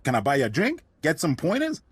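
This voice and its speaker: An actor playing a mocking, excitable male small-time crook in a video game. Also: on the high side, quick pace, breathy edge, no echo, heavily acted. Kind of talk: video game cutscene acting.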